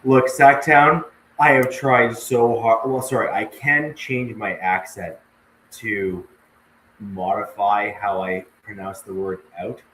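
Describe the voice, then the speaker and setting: Deep-voiced Canadian man in an echoey room. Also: fairly even intonation, fairly bright tone, slight background noise. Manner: many uh fillers, measured and polite. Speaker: podcast co-host. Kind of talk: casual live-stream chat.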